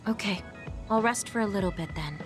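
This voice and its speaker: An actress playing a gentle, polite and self-effacing young woman in a video game. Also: medium pitch, a little fast, over music, close to an everyday voice. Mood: weary, apologetic. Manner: polite, apologetic.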